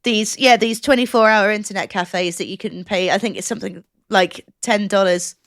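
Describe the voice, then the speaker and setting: A woman's voice: mid-range voice, moderate pace, no room sound, clean studio sound. A woman chatting casually as a podcast co-host, explaining things in an enthusiastic, rambling way.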